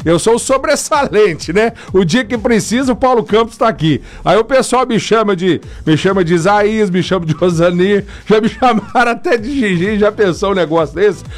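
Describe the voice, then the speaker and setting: High-voiced jokey male radio host. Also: normal speed, few pauses. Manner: jokey, chatty, laughs often. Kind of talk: morning radio shout-outs.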